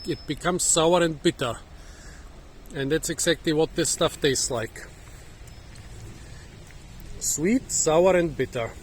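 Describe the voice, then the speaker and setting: A hoarse man, musing and halting as he thinks aloud while hosting a casual fruit-tasting vlog outdoors in a garden, recorded on a phone. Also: fairly high pitch, a little hiss or hum. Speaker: fruit channel host. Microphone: phone camera.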